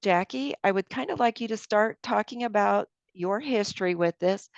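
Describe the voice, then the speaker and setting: A woman leads a cooking demonstration and talks each step through as she works. Her voice has a gravelly, fry-tinged edge. The sound is close and dry, slightly dull, as if through a basic mic. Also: medium pitch, moderate pace, no echo. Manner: friendly, explains each step aloud while working. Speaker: cooking class instructor.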